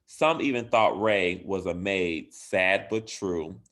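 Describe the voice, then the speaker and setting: A man with a lowish voice reads a picture book to children at an unhurried pace. He leans into big, animated rises of emphasis on the story's key lines. Between pages he talks plainly to the kids and parents like a school administrator.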